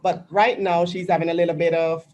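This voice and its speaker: A woman's voice: on the low side, little pitch movement, fairly quick, a little mellow, a little hiss or hum. A woman from Jamaica moderating a virtual panel on a video call, friendly and conversational.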